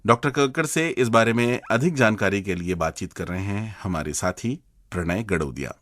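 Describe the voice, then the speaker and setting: A man hosting a radio show, announcing in a clear, scripted way. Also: on the low side, expressive, normal speed, fairly bright tone.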